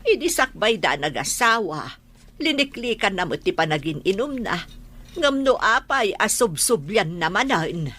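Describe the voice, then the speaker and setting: A woman's voice: medium pitch, sing-song, a little slow, pushed and bright, exaggerated voice. An actress playing a concerned older mother-in-law in a radio drama, earnest and admonishing.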